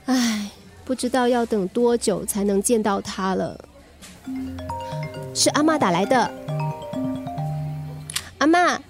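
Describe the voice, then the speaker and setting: An actress playing an earnest, gentle young daughter in a radio drama, polite and pleading, with a Singaporean accent. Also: female voice, fairly high pitch, slow pace, long pauses, over music. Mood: wistful. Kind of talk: Mandarin radio drama acting.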